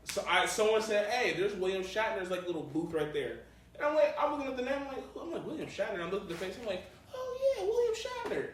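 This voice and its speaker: A man's voice: high voice, big pitch swings, fast talker. An American man co-hosting a podcast, telling stories casually in slangy, profane language and acting out what people said. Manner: slangy, profane, acts out quotes. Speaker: podcast co-host. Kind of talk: casual animated storytelling among friends. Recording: very echoey room, distant mic, faint noise.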